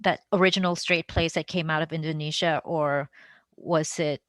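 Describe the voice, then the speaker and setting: A woman's voice: sits low, steady tone, normal speed, fairly bright tone, no room sound. A woman interviewer asking casual questions in a tentative way, rephrasing as she goes. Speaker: interviewer, podcast host.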